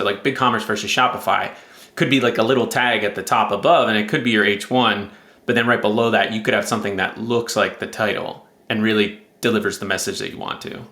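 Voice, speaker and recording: Man, host, low-leaning pitch, sharp bright tone, casual commentary, roomy echo.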